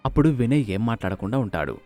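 A man's voice: mid-range voice, big pitch swings, fast, mellow. A man narrating a scripted moral story as a storyteller, recorded close on the mic. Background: music.

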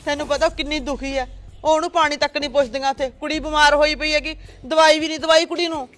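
A woman's voice: fast talker, a bit bright. A woman playing an older mother, pleading and lamenting, bristling when challenged. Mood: indignant, aggrieved. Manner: pleading, lamenting, pitch rises in arguments. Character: grieving older mother, defensive, talks back. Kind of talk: family drama skit.

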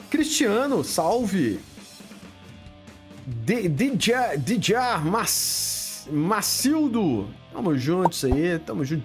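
Brazilian man, 50s, live-stream host; blunt, lively pitch swings, faint music behind. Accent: Brazilian Portuguese. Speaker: livestream host answering viewer questions. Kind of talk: casual live chat. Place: home studio room lit with colored LEDs.

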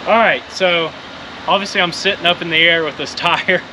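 A man, narrating a car vlog outdoors in a laid-back, excited way and laughing often. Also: on the high side, moderate pace. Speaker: car restoration vlogger. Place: outdoors in an open car on a road. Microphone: camera mounted on the car. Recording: loud engine noise outdoors. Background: engine noise.

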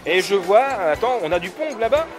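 A man's voice: sits high, very animated, brisk, over music. A man making a video at a convention, chatting enthusiastically and casually with an exhibitor. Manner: enthusiastic, slangy, agreeing. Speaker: video maker and interviewer. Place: busy convention hall, indoors. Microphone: handheld recorder in his own hand.

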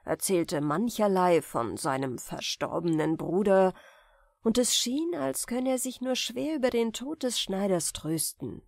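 A woman reads a fairy tale aloud as an audiobook narrator, in bedtime storytelling style. Her pace is slow and measured, her pitch sits in the middle. The recording is close and dry. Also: lively intonation, a little mellow, no room sound.

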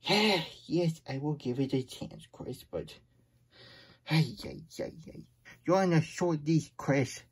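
Man voicing a husky, slow-talking childlike plush hedgehog. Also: on the high side, heavily acted. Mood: exasperated. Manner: stammering, childish grammar, exasperated asides. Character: plush hedgehog storyteller, childlike, fretful and grumbly. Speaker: host of children's story time. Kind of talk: puppet read-aloud with chatty asides.

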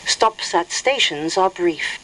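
Woman reading formal station announcement, slow and careful, slight train hum. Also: fairly low pitch, lively intonation, a bit bright, low-quality recording. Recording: train speakers with faint noise. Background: train cabin hum.

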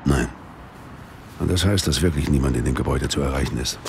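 A man's voice: rumbling low, brisk, vocal fry, darkish tone, a little hiss or hum. An actor playing a stern, irritable male police squad commander, terse and commanding, in an audio drama. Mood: resolute. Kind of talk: German audio drama acting.

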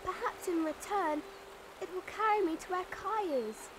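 A woman's voice: extremely high pitch, lively intonation, normal speed, background noise. A woman voicing an earnest, tender-hearted young girl in a cartoon, with a wistful, hopeful manner. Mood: worried, wistful. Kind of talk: animated cartoon acting. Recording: loud background music.

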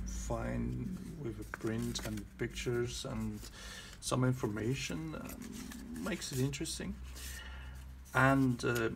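Slow, creaky-voiced man. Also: mid-range voice. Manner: hesitant, halting phrasing. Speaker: video host, collector. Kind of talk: casual show-and-tell narration. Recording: clear background noise, handling clicks.

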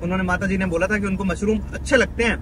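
Chatty high-voiced male travel vlogger. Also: steady tone, very fast, background noise. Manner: chatty, thinks aloud, mixes in English words. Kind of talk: travel vlog narration. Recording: background music under the voice.

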